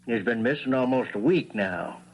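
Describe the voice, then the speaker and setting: An actor playing a folksy small-town Southern judge, sly and probing, in a drawling 1970s radio drama style. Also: male voice, mid-range voice, lively intonation, normal speed, lo-fi sound.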